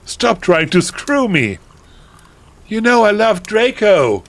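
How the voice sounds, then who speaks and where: A man voicing a hot-tempered, overdramatic teenage goth girl in a mock-dramatic fan fiction reading, with overwrought, shouty exclamations. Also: a little high, expressive, a little slow, pitched above the performer's own voice. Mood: furious.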